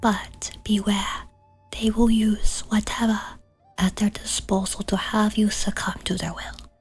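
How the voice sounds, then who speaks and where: A woman's voice: mid-range voice, level tone, unhurried, breathy edge, faint music behind. A woman playing a solemn, protective shamaness in an ASMR fantasy horror roleplay, speaking half in whispers with archaic, commanding deliberation. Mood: solemn, guiding. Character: solemn protective shamaness guiding an afflicted listener.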